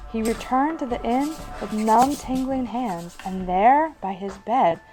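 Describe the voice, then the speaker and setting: Unhurried woman narrating a rhymed story. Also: a little high, darkish tone, low-quality recording. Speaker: host and narrator.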